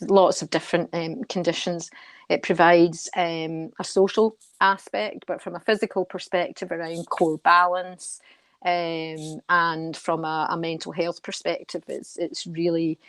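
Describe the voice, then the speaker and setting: A woman's voice: fairly low pitch, dry recording. A woman speaking as a guest in an informal radio interview, earnest but hesitant, often repeating words as she goes. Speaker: interview guest, charity trustee and volunteer riding coach.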